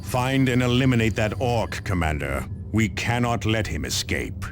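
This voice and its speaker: Man playing a deep, solemn warrior captain. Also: over music, natural voice. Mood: grave, urgent. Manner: solemn, commanding, measured. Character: grave, resolute armored space marine captain. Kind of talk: video game briefing narration.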